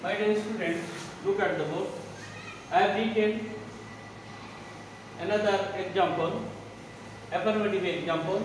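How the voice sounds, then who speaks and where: Male teacher in his 40s, high voice, unhurried and repetitive, heard from across a noisy room. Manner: slow, repeats phrases while writing on a board. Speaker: school English teacher. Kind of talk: classroom grammar lesson. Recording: echoey room, distant mic, background noise.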